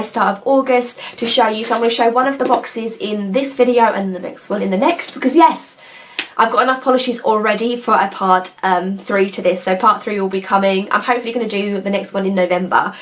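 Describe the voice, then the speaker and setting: A woman's voice: a little high, fairly quick, fairly bright tone, a little hiss or hum. A woman, chatting casually and enthusiastically to camera with lots of hesitations and asides. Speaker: nail polish vlogger.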